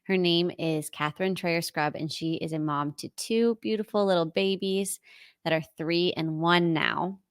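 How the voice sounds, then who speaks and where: An upbeat woman hosts a podcast and greets her guest with real enthusiasm. Her voice sits low and carries a gravelly fry. The sound is dry and close to the mic. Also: measured pace, no room sound. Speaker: podcast host, doula. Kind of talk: podcast interview.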